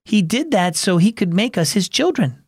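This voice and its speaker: A man's voice: high-pitched, very animated, normal speed, breathy edge, no room sound, studio quality, voice pushed up, heavily acted. A man voicing a tearful, earnest young girl in a storybook reading. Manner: sniffly, pleading.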